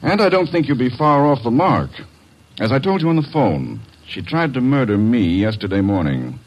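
An actor playing a formal, hesitant doctor, in 1940s radio acting style. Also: male voice, mid-range voice, big pitch swings, moderate pace, dull audio. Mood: worried. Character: anxious male doctor, would-be victim.

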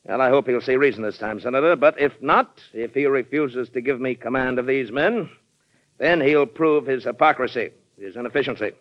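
A muffled, lo-fi vintage radio recording carries a proud American colonel played by a man. He is forceful and ambitious, speaking at a middling pitch in the firm manner of old broadcast drama. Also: a little fast, natural voice. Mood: proud, defiant.

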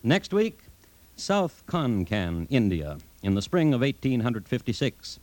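An actor playing a droll, solemn crime-history storyteller, narrating a 1950s radio drama in deliberate, dry, clipped phrases. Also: male voice, mid-range voice, big pitch swings, a little mellow, no room sound. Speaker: host-narrator.

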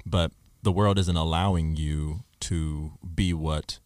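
A man with a very low, deep voice talks through his ideas in long, thoughtful stretches, the way a podcast host does. The sound is close and dry, with no room around it. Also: fairly even intonation, takes it slowly, darkish tone, no room sound. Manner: reflective, speaks in long explanatory runs. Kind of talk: casual discussion.

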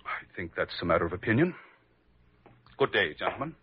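Casting: hard-headed strict dad, low raspy voice, unyielding, muffled vintage radio drama. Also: male voice, very animated, a little fast, full character voice. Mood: curt, cold. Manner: curt, unyielding, formal. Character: stubborn, hard-headed middle-aged father, strict and self-righteous. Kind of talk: old-time radio drama acting. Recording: dull vintage radio recording.

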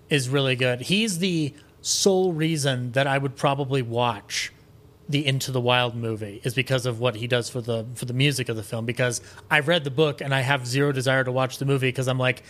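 Male podcast guest, mid-pitched, rambling enthusiast, casual and conversational. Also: a bit bright. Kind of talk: casual chat.

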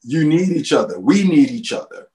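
A man with a somewhat raised pitch makes an earnest, persuasive case in conversation. He leans on rhetorical questions to press his point. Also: big pitch swings, normal speed, dark tone. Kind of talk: casual conversation.

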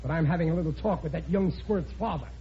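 High-voiced actor as bumbling middle-aged father, blustering, exclamatory, vintage radio sitcom, muffled noisy recording. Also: quick pace, close to an everyday voice. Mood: scolding, indignant. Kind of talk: 1940s-50s radio situation comedy acting.

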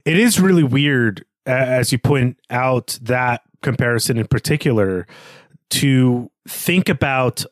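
A man's voice: mid-range voice, unhurried, no room sound. A man co-hosting a literary discussion podcast, talking in an articulate, analytical and conversational way. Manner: analytical, articulate, poses questions. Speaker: podcast co-host.

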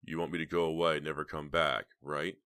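A man's voice: a bass voice, little pitch movement, a little fast, sharp tone, smooth, no echo, exaggerated voice. A man playing a smug, menacing blackmailer in an audiobook story, needling and unruffled in manner. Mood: calm, menacing.

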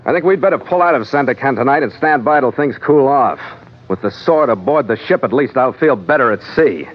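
A man's voice: mid-range voice, expressive, brisk, lightly acted. Man as hard-boiled captain, muffled old radio. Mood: wary. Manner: terse, dry, hard-boiled. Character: world-weary, hard-boiled male ship captain and narrator. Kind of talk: old-time radio drama narration and dialogue. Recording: dull vintage radio recording with music.

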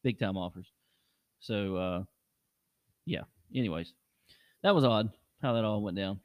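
A man's voice: on the low side, unhurried, dry recording, studio quality. A man hosting a casual sports podcast, loose and chatty, repeating words for emphasis. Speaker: podcast host.

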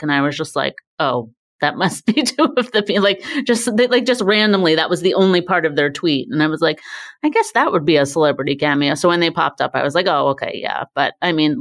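Female podcast co-host, slightly low voice, informal and giggly conversational delivery. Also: a bit bright. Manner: giggly, breaks into laughs mid-sentence. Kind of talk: casual panel chat about a TV show.

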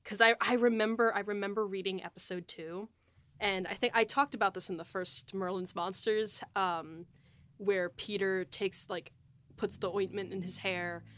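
A woman's voice: medium pitch, fairly even intonation, moderate pace, a bit bright. A young woman in a casual, reflective group chat, effusive and often hesitating around the word "like". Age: young adult. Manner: effusive, hesitant phrasing with frequent "like". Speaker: podcast co-host, writer and producer.

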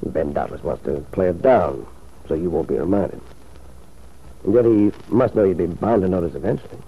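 An actor playing a smooth, scheming male lover in a radio drama, suave and coaxing in manner. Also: low voice, moderate pace, warm tone, heavily acted. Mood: thoughtful. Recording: muffled old radio drama recording.

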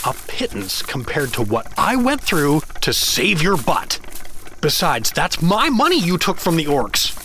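A man's voice: on the high side, huge pitch swings, a little slow, pushed and bright, a little hiss or hum, full character voice. A man playing a well-meaning but clueless dad turned adventurer in a fantasy audio drama, hesitant and apologetic. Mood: pleading, indignant.

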